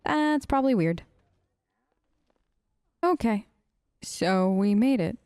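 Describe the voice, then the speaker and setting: A woman's voice: mid-range voice, lively intonation, takes it slowly, darkish tone, clean tone, no echo. A woman doing role-play gaming commentary, reading aloud and musing in a conversational way. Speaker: let's player who role-plays her character.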